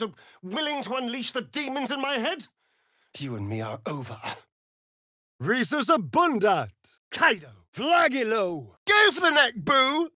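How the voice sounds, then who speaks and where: Theatrical, drawling sardonic vampire. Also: male voice, on the high side, fairly bright tone, dry recording, clean studio sound, exaggerated voice. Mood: cold. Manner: arch, drawling, mocking, theatrical. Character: sardonic, theatrical, flirtatious male vampire spawn rogue. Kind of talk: video game character voice lines.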